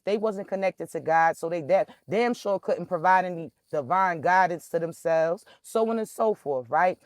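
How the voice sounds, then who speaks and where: A man's voice: high-pitched, no echo, studio quality. A young man, emphatically delivering a spiritual message straight to his listeners. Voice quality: raspy. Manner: emphatic, preacherly, addresses listeners directly. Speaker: spiritual reader. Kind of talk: direct-to-camera spiritual message.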